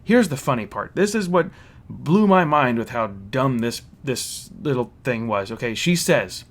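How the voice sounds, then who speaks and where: A man casually recapping a story as a reviewer, with an animated manner and mocking asides. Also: medium pitch, measured pace, slight background noise. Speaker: reviewer hosting a fan recap. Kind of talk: casual spoken plot recap and commentary.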